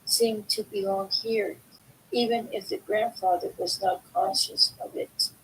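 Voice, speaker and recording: Female book reader, mid-pitched and creaky, halting delivery, light noise and rumble on the recording. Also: steady tone, low-quality recording. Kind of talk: slow read-aloud of book excerpts. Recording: faint background noise, low rumble.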